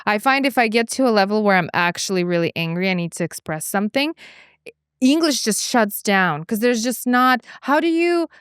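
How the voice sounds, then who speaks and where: A woman with an Eastern European accent hosting a podcast in a studio, talking in quick, animated bursts and laughing often. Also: medium pitch, clean tone, dry recording. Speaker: podcast host. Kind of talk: casual conversation.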